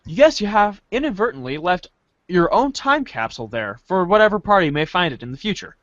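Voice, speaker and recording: A man reading a story aloud in a playful, theatrical way, as an animated second-person narrator. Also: high-pitched, a little fast, no room sound, highs cut off.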